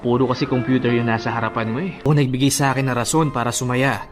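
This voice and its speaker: An actor playing a devoted, encouraging suitor in a Filipino radio drama, speaking earnestly and persuasively. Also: male voice, mid-range voice, steady tone, fast, lightly acted. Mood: pleading, romantic. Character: devoted, encouraging male suitor Alan, a father. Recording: faint music behind the voice.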